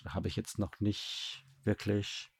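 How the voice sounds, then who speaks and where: A man giving casual interview answers as a guest, haltingly searching for his words. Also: on the low side, level tone, vocal fry, husky, dry recording. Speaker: radio drama director, interview guest.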